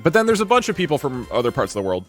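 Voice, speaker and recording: A man's voice: fairly high pitch, racing, faint music behind. A man streaming from a green screen setup, chatting casually and rambling with lots of restarts while reacting to viewer comments. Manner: rambling, animated, frequent restarts and fillers. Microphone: desk mic at a green screen setup.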